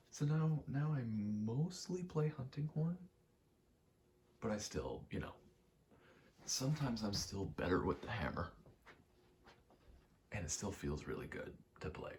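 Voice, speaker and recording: A man giving a rambling ASMR gaming commentary, halting and meandering as he thinks aloud while playing. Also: mid-range voice, sing-song, a little mellow, slight background noise. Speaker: gaming video creator.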